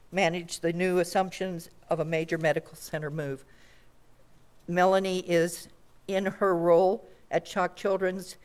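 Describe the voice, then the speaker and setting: A woman hosting a conference panel, reading prepared introductions in a measured, formal way. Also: lowish voice, a little mellow. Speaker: event host introducing panelists. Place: conference room.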